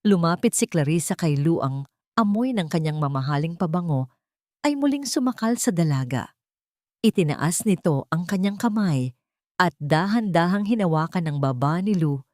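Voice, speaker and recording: A woman tells a dramatic story from a script. Her voice is slightly low, with a solemn and suspenseful delivery. The recording is dry, with no room sound. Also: expressive, moderate pace, darkish tone, no room sound. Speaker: story narrator.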